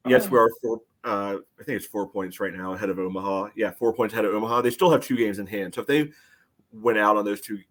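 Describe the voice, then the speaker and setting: Male sports podcast panelist, slightly deep voice, informal analysis. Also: fast talker.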